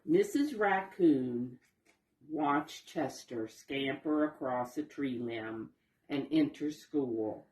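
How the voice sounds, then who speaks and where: A woman reading a picture book aloud to young children, explaining the pictures simply and gently as she goes. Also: a bass voice, takes it slowly, distant mic. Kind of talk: expressive read-aloud storytelling with asides to young listeners. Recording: roomy echo, voice away from mic.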